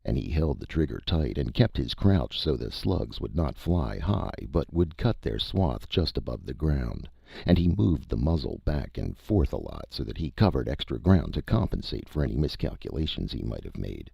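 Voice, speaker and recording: Male story narrator: heavy fry, animated dramatic reading, dry and slightly dull recording. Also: very deep voice, normal speed, dry recording. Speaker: audiobook narrator.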